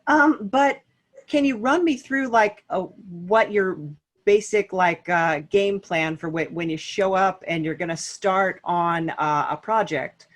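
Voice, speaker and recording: A woman's voice: medium pitch, measured pace. A woman hosting a podcast, putting loose, rambling questions to a panel of guests. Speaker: podcast host. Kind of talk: interviewing a panel.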